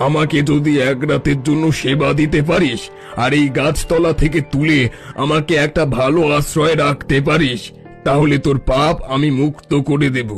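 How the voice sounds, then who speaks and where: A man voicing a bossy holy man in a cartoon, scolding and pompous with a gravelly voice. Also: a little high. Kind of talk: cartoon story acting.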